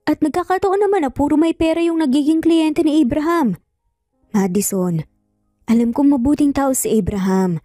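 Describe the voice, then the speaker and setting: A woman storyteller acts out a caring older sister in an audio drama. She pleads gently and persuasively, with a mellow, higher voice, recorded close and dry over music. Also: normal speed, no echo, natural voice. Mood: concerned, pleading. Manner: earnest, persuasive.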